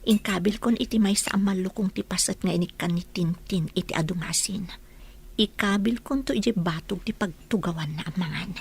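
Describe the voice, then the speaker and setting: A woman's voice: mid-range voice, normal speed, gravelly, slight background noise, lightly acted. A woman acting a dutiful young household cook who stands up for herself, in an Ilocano radio comedy drama. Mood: muttering, scheming.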